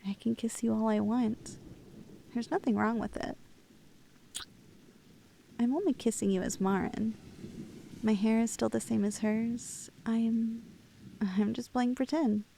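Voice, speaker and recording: A woman's voice: a little high, fairly even intonation, unhurried, gravelly, pauses often, mellow, a little hiss or hum. A woman playing a lovestruck, clingy young woman in an intimate ASMR roleplay, playful and hesitant, with a teasingly unhinged streak. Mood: hushed, wistful.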